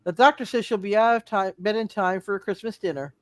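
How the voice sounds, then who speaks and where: A woman playing a concerned, patient wife and mother, gently reassuring, in a live radio play reading. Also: medium pitch, fast talker, no echo, studio quality, close to an everyday voice. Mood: concerned, polite.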